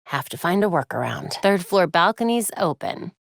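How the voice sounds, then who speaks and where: A young American masked heroine for a video game. She is quippy, determined and caring, with a lively, wide-ranging pitch. The recording is dry and close, with no room around it. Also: normal speed, creaky, no room sound. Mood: focused, investigative. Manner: wry, quippy, expressive. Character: young female masked vigilante hacker, wry, determined, caring.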